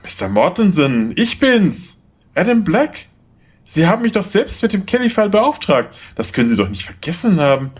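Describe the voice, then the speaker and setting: An indignant male lawyer acted by a man in an audio drama, with a husky mid-range voice. His pitch swings widely as he insists and protests in exasperation. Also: big pitch swings, normal speed, full character voice. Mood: pleading, frustrated. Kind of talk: German audio drama acting.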